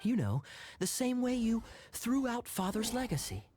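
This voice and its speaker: An actor playing a sly young male captain who needles his brother with smooth sarcasm, over game music. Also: very high voice, big pitch swings, moderate pace, a little mellow, a little hiss or hum. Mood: teasing.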